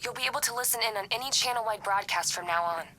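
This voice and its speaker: A woman playing a capable, wry young hacker ally in a video game, talking in a brisk, matter-of-fact way. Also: a little high, sharp tone, a little hiss or hum. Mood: helpful, wry. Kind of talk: video game cutscene acting.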